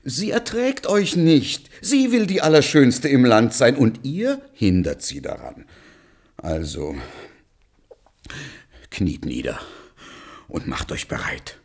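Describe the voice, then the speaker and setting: An actor playing a reluctant, obedient royal huntsman, soft-hearted and fearful, halting and solemn in a radio play. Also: mid-range voice, wildly swooping pitch, exaggerated voice. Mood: grim, pleading. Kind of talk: German radio play, theatrical.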